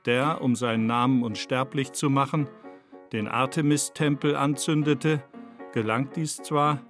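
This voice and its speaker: Male narrator for radio essay. Mid pitch, measured rhetorical delivery, guitar music underneath. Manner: literary, rhetorical, measured phrasing.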